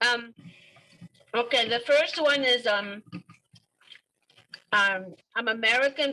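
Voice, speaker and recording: A woman with a bright, fairly high voice speaks hesitantly on a video call, filling pauses with many ums. The talk is casual and halting. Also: low-quality recording. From Japan. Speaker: participant.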